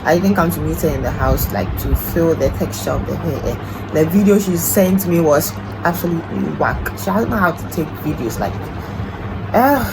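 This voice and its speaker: A woman's voice: low voice, expressive, normal speed, music in the background, poor audio. Groggy young woman vlogging at home. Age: 20s. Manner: chatty, rambling, self-deprecating. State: groggy, deep morning voice. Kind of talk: casual vlog chat. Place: at home, indoors. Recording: noticeable background noise. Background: noise.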